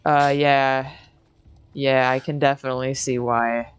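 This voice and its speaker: A woman's voice: a bass voice, flat delivery, unhurried, smooth. A woman co-hosting a game livestream, talking casually while she plays. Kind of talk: casual chat while gaming.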